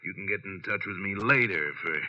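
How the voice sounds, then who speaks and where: A man playing a shady, menacing small-time crook in an old radio drama, with slangy, halting tough talk. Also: low-pitched, sharp tone, slight background noise, heavily acted. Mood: sly, menacing. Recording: muffled vintage radio recording.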